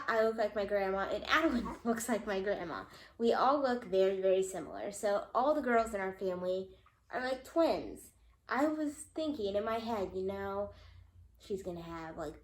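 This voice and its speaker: A young woman, a mother, giving a casual vlog update, a little congested and nasal, talking in a loose way full of ums and likes. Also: mid-range voice. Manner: chatty, lots of ums and likes. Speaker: vlogger. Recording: echoey room.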